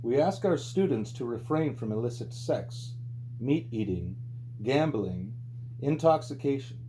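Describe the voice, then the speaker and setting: A man reading a spiritual book aloud as scripted audiobook narration, with a mellow voice. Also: lively intonation, unhurried. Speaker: audiobook reader. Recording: low hum and background noise.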